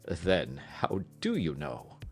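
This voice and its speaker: A man voicing a mild, bemused gentleman doctor in an audiobook, earnest and gently protesting. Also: very deep voice, huge pitch swings, slow, creaky, husky, faint music behind, pitched below the performer's own voice, heavily acted. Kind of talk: audiobook character reading.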